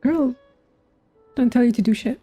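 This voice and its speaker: A woman's voice: on the high side, normal speed, warm tone, faint music behind. A woman giving casual reaction commentary as she plays a game, informal and musing aloud. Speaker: gaming content creator.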